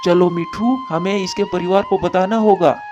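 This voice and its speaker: A man's voice: high-pitched, fairly even intonation, moderate pace, muffled recording, music in the background, lightly acted. A man voicing a caring, troubled adult male bird in a cartoon moral story, speaking earnestly and with plain drama. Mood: distressed.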